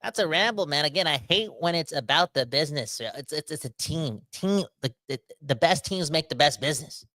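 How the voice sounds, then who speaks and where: Bright, rambling man in his thirties. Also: on the high side, brisk, few pauses, dry recording. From Canada. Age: 30s. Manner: animated, rambling, slangy, talks straight to the audience. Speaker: podcast host reading live chat comments. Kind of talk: casual livestream rant.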